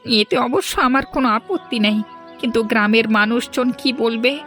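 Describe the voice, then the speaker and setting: A woman's voice: a little high, brisk, a bit bright, soft background music, close to an everyday voice. A woman voicing a worried, loyal younger sibling in a cartoon fairy tale, earnest and pleading.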